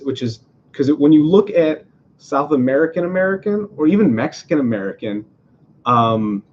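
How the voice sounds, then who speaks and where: A man's voice: mid-range voice, darkish tone, muffled recording, a little hiss or hum. A man from Venezuela talking casually about politics as a podcast host, rambling through many fillers and restarts in his apartment.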